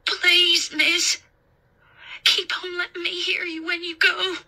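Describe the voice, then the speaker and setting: Lady doing a scared country kid, really high voice, panicky and begging, audiobook spooky story. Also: a little slow, pushed and bright, full character voice. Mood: frightened pleading. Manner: panicky, pleading, stammering. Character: terrified pleading country boy, child, shrieking for his mother.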